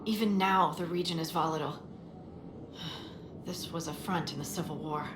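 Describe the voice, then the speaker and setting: A woman's voice: deep voice, steady tone, moderate pace, close to an everyday voice. A woman playing a wary, businesslike military scout in a fantasy game, briefing in a matter-of-fact tone. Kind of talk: scripted game dialogue. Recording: echoey, clearly noisy game capture.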